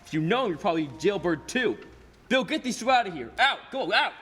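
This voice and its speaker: A man's voice: high-pitched, sing-song, fairly quick, sharp tone, heavily acted. A teenage man acting a surly, slangy, hostile bartender in a radio play. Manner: gruff, slangy. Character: surly, hostile tough-guy male bartender. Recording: echoey hall stage recording with music.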